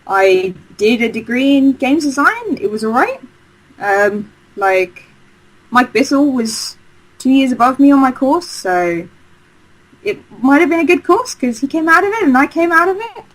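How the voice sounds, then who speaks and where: A woman's voice: high-pitched, big pitch swings, a little slow, low-quality recording. A woman, telling her career story in a chatty, rambling way, full of fillers, restarts and enthusiasm. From Essex, England. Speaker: game designer guest. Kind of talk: casual interview chat.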